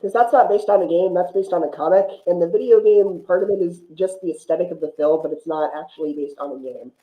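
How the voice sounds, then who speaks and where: A man's voice: sits high, fast talker, dark tone. A man chatting casually about films as a podcast guest, prone to chuckling. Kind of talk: casual film discussion. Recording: dull, echoey room sound.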